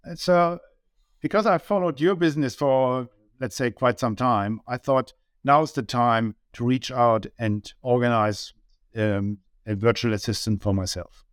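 A man's voice: medium pitch, a little slow, clear, resonant tone, no room sound, studio quality. A man in his sixties from Germany with a German accent, talking earnestly as an interview guest and often restarting his sentences. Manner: earnest, many small restarts. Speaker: guest, business owner. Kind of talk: casual interview talk.

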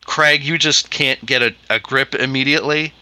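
A man narrating as game master, with a very bright, cutting voice and a relaxed, hesitant delivery. He sounds dry and close to the mic. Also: medium pitch, moderate pace, no room sound. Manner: laid-back, hesitant, with many ums.

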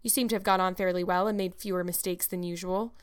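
A stern middle-aged woman guardian, as a woman narrator acts her out from a storybook. She is practical and sarcastic. Her delivery is brisk and dry, always ready to scold. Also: on the low side, steady tone, smooth, close to an everyday voice.

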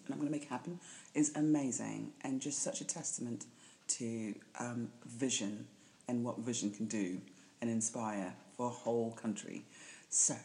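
Low-voiced woman hosting a podcast. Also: dark tone. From Zambia. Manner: encouraging, affirms often. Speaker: podcast host. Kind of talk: podcast interview.

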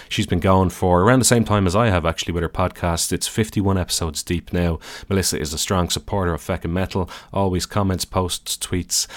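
A deep-voiced Irish man with a calm, soothing tone, talking his way through a podcast in a loose, wandering manner. His delivery is easygoing and wry. The recording is close and dry, with no room sound. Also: no room sound. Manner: easygoing, rambling, dry self-deprecating humour. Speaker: podcast host. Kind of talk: casual solo podcast monologue.

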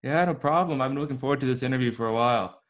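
A man's voice: mid-range voice, very fast. Casual young male podcast host. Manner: casual, upbeat intros with fillers. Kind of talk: podcast interview.

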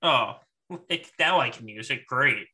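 Bright-voiced male gaming podcaster. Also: medium pitch, lively intonation, a little slow. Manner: informal, conversational. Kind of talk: casual chat about a mobile game.

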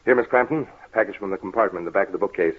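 An actor playing a methodical investigator of the uncanny in a 1930s radio drama, speaking formally and with deliberate authority. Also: male voice, sits low, breathy edge. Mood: resolute. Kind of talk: 1930s old time radio acting. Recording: muffled, scratchy 1930s radio recording.

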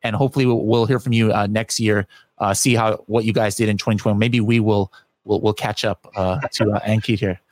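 A man's voice: fairly low pitch, fairly even intonation, fairly quick, no room sound. A man hosting a podcast in casual interview chat, rambling with many fillers and restarts. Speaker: podcast host.

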